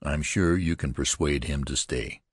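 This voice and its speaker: A man's voice: rumbling low, unhurried, breathy edge, no echo, clean studio sound, pitched below the performer's own voice, full character voice. A man voicing a bluff, fatherly frontier colonel in a hearty manner.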